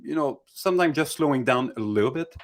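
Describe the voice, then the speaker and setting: A man's voice: mid-range voice, lively intonation, brisk. A man with a French Canadian accent, a native French speaker, co-hosting a casual live Q&A and hesitantly searching for words. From Montreal, French Canada. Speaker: Q&A co-host.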